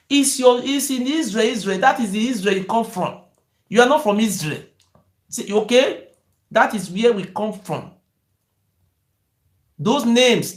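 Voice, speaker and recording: A man, hosting his own channel and speaking in an impassioned monologue that often rises toward shouting. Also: sits high, reverberant. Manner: excited, rising to near-shouting, repetitive "you see". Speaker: channel host.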